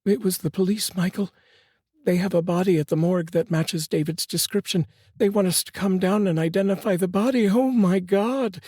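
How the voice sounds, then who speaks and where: A male storyteller voicing a frantic, grieving mother in a high, pleading voice. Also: normal speed, warm tone, breathy edge, dry recording, studio quality.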